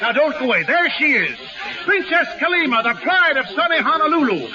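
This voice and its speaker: High-pitched, brash carnival barker. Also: male voice, sing-song, a little fast, noisy background. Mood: exuberant, selling. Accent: American. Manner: punchy, commanding, slangy sales patter. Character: brash, bossy carnival barker, male showman. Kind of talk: 1930s radio acting. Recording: dull, lo-fi 1930s radio recording.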